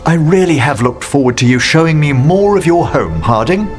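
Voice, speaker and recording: A courteous gentleman necromancer played by a man in a video game. He speaks precisely and with gentle enthusiasm in a lightish, very expressive voice over music. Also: on the high side, normal speed, close to an everyday voice. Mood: polite, pleased. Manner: polite, precise, eloquent. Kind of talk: video game character acting. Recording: music behind.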